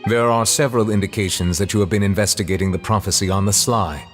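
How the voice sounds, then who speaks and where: Guy doing a stern formal judge, lowish voice, slow and grave, quiet music under it. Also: steady tone, natural voice. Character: stern dignified male chief justice interrogating. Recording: game audio with soft music.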